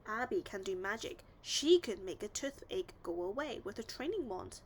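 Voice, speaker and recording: A woman's voice: mid-range voice, animated, normal speed, a little hiss or hum, close to an everyday voice. A woman voicing a cheerful, eager little monster child in a storybook reading. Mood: eager, helpful. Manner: childlike, eager, speaks of self in third person.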